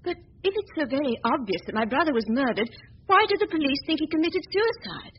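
A woman's voice: high-pitched, very animated, fast talker. An actress playing an earnest, anxious young woman in a 1940s radio drama, pressing with urgent questions. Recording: dull old broadcast recording with faint hiss.

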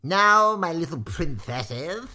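A storyteller voicing an excitable, greedy villain who gloats theatrically and shrieks in a drawn-out way. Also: mid-range voice, voice pushed up, full character voice. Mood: gloating, menacing.

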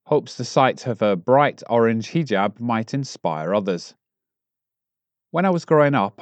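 A man reading newspaper articles aloud as a volunteer in a measured way, recorded at home. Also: on the low side, darkish tone, no room sound, highs cut off. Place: at home, close and dry.

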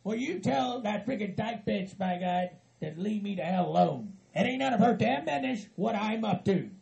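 Pissed off southern hillbilly, super high gravelly voice, cussing and hollering. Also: male voice, lively intonation, moderate pace, dull audio, heavily acted. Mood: surly, angry. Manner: hot-tempered, shouting, profane. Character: furious hillbilly man, foul-mouthed, wronged, ranting.

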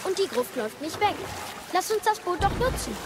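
A woman's voice: extremely high pitch, very animated, a little fast, heavy background noise. A woman playing an eager, defiant young boy, a warrior's son, with youthful, insistent delivery in a game audio drama. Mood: eager, questioning.

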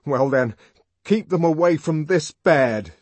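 A man's voice: on the high side, lively intonation, slow, a little mellow, no room sound, pitched above the performer's own voice. A man playing a trembling, indignant young man. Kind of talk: audiobook reading.

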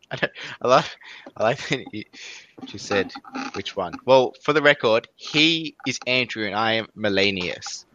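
A young Australian man with a bright, forceful voice that sits a little low, chatting on a podcast in a relaxed way and laughing easily. He often trails off mid-thought. A faint hiss runs under the recording. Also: unhurried, muffled recording. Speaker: podcast co-host. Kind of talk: casual chat.

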